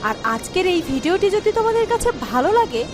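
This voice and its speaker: A woman's voice: very high-pitched, big pitch swings, a little fast, over music. A woman giving scripted storytelling narration for a fairy-tale cartoon.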